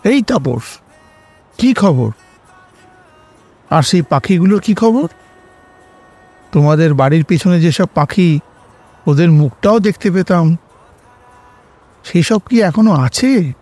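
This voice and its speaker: A man acts out a jolly middle-aged uncle who lives for jokes. His voice sits a bit high and he drags his words out playfully, as in a radio mystery, with soft music underneath. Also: expressive, exaggerated voice. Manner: playful, chuckling, drawn-out talk. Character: jolly, joking middle-aged uncle, fun-loving sidekick. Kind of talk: Bengali audio drama acting.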